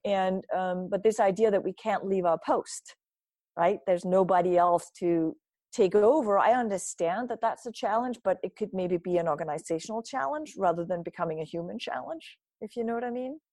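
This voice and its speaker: A woman's voice: mid-range voice, normal speed, darkish tone, dry recording, clean studio sound. A woman from Denmark giving thoughtful, earnest answers as an interview guest, often checking in with "right?". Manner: earnest, reflective, frequent restarts and "right?" tags. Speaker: guest, nutrition author and speaker.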